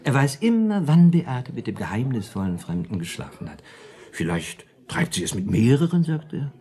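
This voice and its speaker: An actor playing a sly, worldly drinking companion spinning schemes in a German radio play, wry and speculating. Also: male voice, mid-range voice, big pitch swings, normal speed, mellow, husky. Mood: sly, amused.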